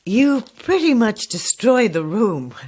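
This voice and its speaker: A woman's voice: fairly high pitch, sing-song, slow pace, a little mellow, a little hiss or hum. An actress playing a sinister, taunting old witch in a horror audio drama, with a menacing, sing-song way of drawing out words. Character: sinister, taunting old witch who lures and cages her guests.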